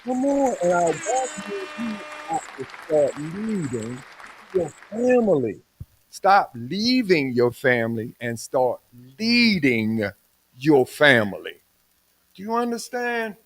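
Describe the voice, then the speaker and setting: A man's voice: a little high, very animated, takes it slowly, slightly rough. A man in his sixties co-hosting a podcast, talking in a preachy, emphatic way and drawing out his phrases. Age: 60s. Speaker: podcast co-host. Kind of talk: casual podcast chat.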